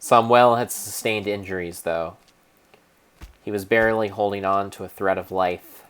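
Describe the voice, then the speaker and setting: A man narrating his own gameplay as a solemn, theatrical dramatic reading, with pauses and small slips. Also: lowish voice, steady tone, a bit bright, clear, resonant tone. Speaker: game streamer narrating play. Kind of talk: mock-dramatic storybook narration over gameplay.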